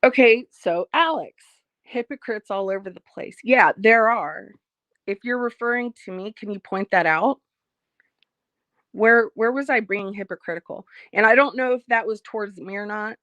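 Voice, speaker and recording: A woman hosting a casual livestream, reading out viewer comments and speaking frankly about her life, slightly hoarse as she loses her voice. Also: medium pitch, moderate pace, fairly bright tone, no room sound, dull audio. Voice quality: frank, blunt, swears freely. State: losing her voice, a bit hoarse. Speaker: livestream host.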